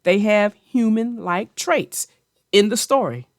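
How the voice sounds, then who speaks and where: A woman's voice: medium pitch, takes it slowly, dry recording, studio quality. A woman hosting a children's read-aloud, narrating a picture book and chatting about it in simple, explanatory terms. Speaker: children's story narrator and host.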